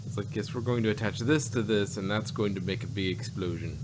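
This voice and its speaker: A man chatting casually as a podcast host, halting and hesitant, with chuckles between thoughts. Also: on the low side, noisy background. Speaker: podcast host reviewing an audio drama. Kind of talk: casual chat.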